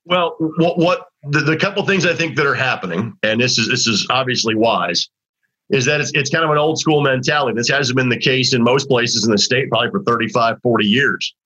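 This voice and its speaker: A man talks high school basketball as a show host, rambling conversationally through restarts and fillers. His voice is forceful yet husky and a little raspy from overuse. The audio is dull and lo-fi. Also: brisk. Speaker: sports broadcaster hosting a high school basketball talk segment. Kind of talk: casual sports talk.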